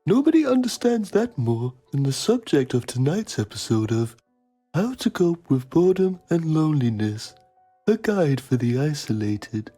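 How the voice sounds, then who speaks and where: A man's voice: fairly high pitch, dark tone, husky, full character voice. A man playing a pompous TV journalist, narrating a mock documentary in a solemn, melodramatic manner.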